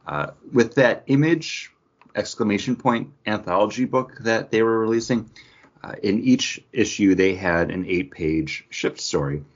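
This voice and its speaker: A man co-hosting a pop-culture podcast, chatting casually in a husky voice. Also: fairly low pitch, a little slow. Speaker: podcast co-host. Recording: dull, lo-fi podcast audio.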